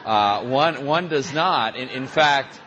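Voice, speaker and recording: A man, a journalist, answering interview questions in a halting way, with many restarts and a dry, wry edge. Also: medium pitch, lively intonation, fairly bright tone, dull audio, slight background noise. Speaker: journalist and author.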